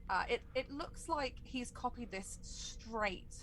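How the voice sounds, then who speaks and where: A woman's voice: on the high side, slow, fairly bright tone, a little hiss or hum, low-quality recording. A woman acting as game master, improvising descriptive narration for a tabletop roleplaying game.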